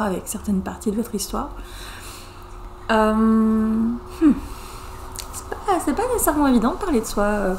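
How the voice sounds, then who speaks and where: A woman's voice: mid-range voice, a little mellow, a little hiss or hum. A woman, a psychologist talking to camera on her own channel, thinking aloud with many hesitations and restarts. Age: 30s. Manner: thoughtful, many fillers and restarts, pace swings. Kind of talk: casual talking-head explanation.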